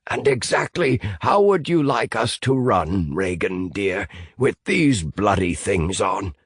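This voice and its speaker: A man's voice: on the low side, sing-song, slow, breathy edge, dry recording, clean studio sound, heavily acted. Audiobook role: man doing a scheming captive, wry and slightly lifted. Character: pale, scheming male captive, dry-witted.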